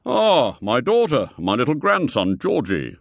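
A man voicing a hearty, genial old gentleman. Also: medium pitch, wildly swooping pitch, measured pace, vocal fry, no room sound, studio quality, pitched above the performer's own voice, exaggerated voice. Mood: jovial.

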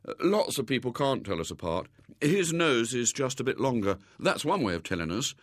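A man's voice: mid-range voice, very animated, moderate pace, dry recording, studio quality. A man voicing a cheeky storybook schoolboy who puts on a virtuous, mournful, hesitant innocence.

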